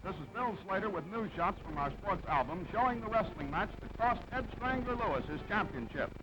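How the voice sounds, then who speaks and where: A man's voice: high-pitched, animated, fairly quick. A man giving punchy, present-tense play-by-play sports commentary in an old newsreel style. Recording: dull, very noisy old film soundtrack.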